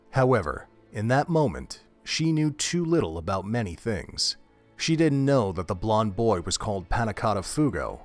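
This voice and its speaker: A man with a low voice narrates a grim horror tale. His delivery is measured and dramatic, and it builds dread line by line. Faint music runs beneath his narration.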